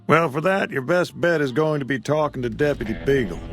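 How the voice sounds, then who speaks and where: A man's voice: mid-range voice, fairly quick, faint music behind, lightly acted. A man voicing a weary old frontier shopkeeper who talks in a drawl. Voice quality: gravelly drawl. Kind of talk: video game dialogue.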